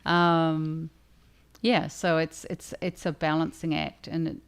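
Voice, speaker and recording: A woman's voice: deep voice, little pitch movement, slow, clear, resonant tone. A woman author hosting a casual discussion with readers, conversational and thinking aloud as she goes. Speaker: author and livestream host.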